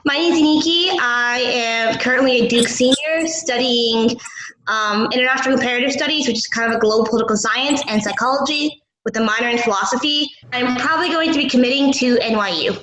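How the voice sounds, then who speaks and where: A woman in her twenties, a college senior, introducing herself quick and composed. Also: fairly high pitch, fairly bright tone, roomy sound, rough recording.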